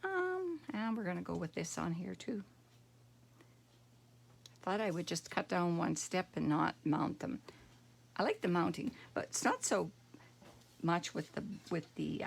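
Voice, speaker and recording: A middle-aged woman with a croaky voice, demonstrating card making at home and thinking aloud in a relaxed, rambling way. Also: on the low side, slight background noise. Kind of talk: casual craft tutorial.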